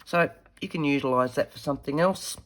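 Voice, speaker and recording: Slightly croaky woman crafting. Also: low-pitched, brisk, noisy background. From Australia. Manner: chatty, talks while working with her hands. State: slightly croaky from a head cold. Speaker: craft tutorial host. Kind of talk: casual craft demonstration.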